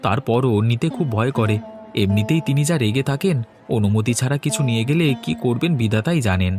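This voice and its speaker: Guy playing meek cartoon servant boy, talks quick, earnest, music behind. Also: medium pitch, animated, lightly acted. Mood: anxious. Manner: timid, earnest, eager to please. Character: meek, good-natured orphaned servant youth. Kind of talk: Bengali cartoon voice acting.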